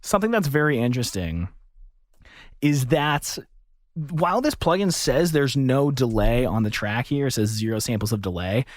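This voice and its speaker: Guy in his 30s doing a chill demo, kinda playful, just thinking out loud. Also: medium pitch, animated, moderate pace, dry recording, clean studio sound. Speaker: host demonstrating an audio plugin. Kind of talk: casual unscripted demo commentary.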